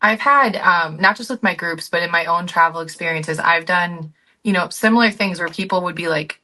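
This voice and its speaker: Bright, forceful American woman swapping stories. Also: on the low side, normal speed, low-quality recording. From United States. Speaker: guest, group travel company founder. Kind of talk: casual conversational storytelling.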